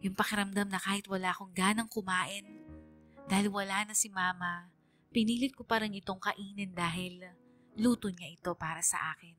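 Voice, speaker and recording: A devoted teenage daughter, voiced by a woman, tells her own story in a mid-pitched voice. The narration is earnest and heartfelt with dramatic pauses, over a musical bed in radio drama style. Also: steady tone, fairly bright tone, faint music behind, natural voice. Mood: grieving. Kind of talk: first-person radio drama letter narration.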